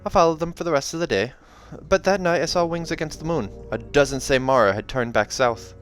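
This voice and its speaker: A man's voice: fairly high pitch, a little fast, soft background music, pitched above the performer's own voice, close to an everyday voice. A man voicing a fierce, guarded young huntress in a fantasy storybook, curt and cool, with a put-on accent. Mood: matter-of-fact.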